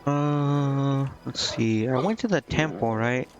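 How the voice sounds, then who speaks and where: A man's voice: mid-range voice, takes it slowly, faint music behind. Hesitant man streaming a game, slightly dull mic. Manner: hesitant, rambling, muttering to himself. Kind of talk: casual gameplay commentary, reading game dialogue aloud.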